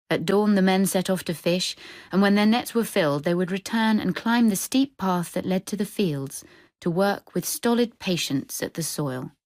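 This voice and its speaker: A woman reading literary fiction for radio in a measured, descriptive storytelling manner. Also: lowish voice, a little mellow, no room sound, clean studio sound. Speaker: short story reader. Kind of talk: scripted literary narration for radio drama.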